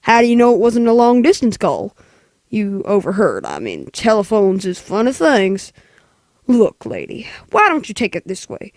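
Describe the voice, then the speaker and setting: A woman voicing a gruff, dismissive, weary city police sergeant in a 1940s radio play, with brusque, patronizing delivery. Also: medium pitch, a little mellow, no room sound, voice pushed down, natural voice.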